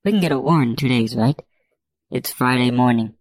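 A woman's voice: extremely low pitch, fairly quick, darkish tone, dry recording. A woman voicing a blustering, blunt, defiant male police chief in an audiobook. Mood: brash.

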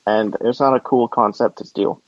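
A man's voice: mid-range voice, steady tone, moderate pace, dry recording. Dry, muffled man co-hosting a podcast. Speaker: podcast co-host. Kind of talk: casual, opinionated sports chat. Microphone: remote call.

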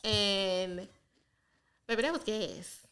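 A young adult woman in a casual podcast chat, an animated storyteller who laughs a lot. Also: mid-range voice, takes it slowly. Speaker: podcast co-host.

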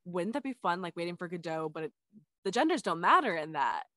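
A stage actor chatting as a podcast guest, lively and laughing often, with the pitch jumping up when excited. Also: female voice, fairly quick, no room sound, clean studio sound. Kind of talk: casual chat.

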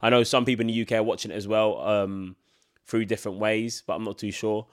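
A man's voice: on the low side, little pitch movement, fast. Congested young man chatting casually. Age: 20s. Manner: casual, rambling, many filler likes. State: congested from a cold. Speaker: podcast host. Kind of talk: solo podcast chat.

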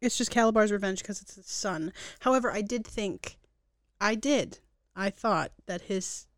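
A woman's voice: medium pitch, normal speed, dry recording, clean studio sound. A woman co-hosting a podcast in casual chat, telling stories in an upbeat, wry way. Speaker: podcast co-host.

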